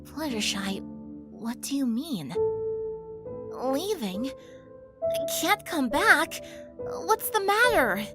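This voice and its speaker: Casting: woman voicing worried young mare for audio storybook, high and very animated, music beneath. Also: takes it slowly, long pauses, heavily acted. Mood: shocked, worried. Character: concerned, questioning young female pony, caring friend. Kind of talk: dramatized fan fiction audiobook. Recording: music under the voice.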